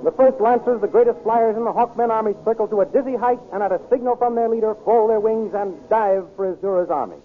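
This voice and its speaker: A man's voice: high-pitched, fast, few pauses, music in the background. A man with an American accent announcing and narrating an old radio serial in a dramatic, emphatic manner. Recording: tinny, noisy old radio recording.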